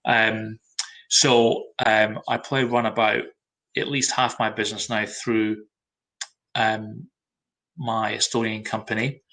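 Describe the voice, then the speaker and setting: Bright-voiced Scottish man. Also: on the low side, flat delivery, low-quality recording. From Scotland. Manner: measured, frequent ums. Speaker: marketing and brand consultant. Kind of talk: webinar talk.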